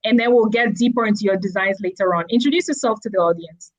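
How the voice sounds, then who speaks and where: A woman's voice: mid-range voice, fast, lo-fi sound. A woman hosting a live talk show in an enthusiastic, effusive way, addressing the audience as she goes. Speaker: host.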